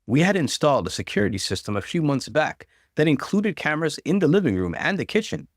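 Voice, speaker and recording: A man's voice: a little high, sing-song, quick pace, no room sound, clean studio sound. A man reading a first-person story aloud as a dramatic narrator.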